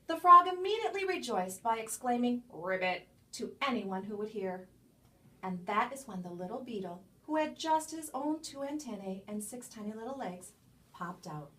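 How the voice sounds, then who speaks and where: A woman reading a children's picture book aloud as a storyteller, with expressive, emphatic delivery and dramatic pauses. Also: a little high. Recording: distant microphone.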